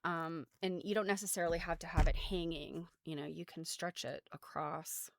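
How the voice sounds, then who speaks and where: A woman's voice: deep voice, fairly even intonation, normal speed, dry recording. An American woman hosting a casual craft livestream, explaining things in an informal, rambling way full of trailing asides. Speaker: host of a craft show. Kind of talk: casual livestream chat about quilts.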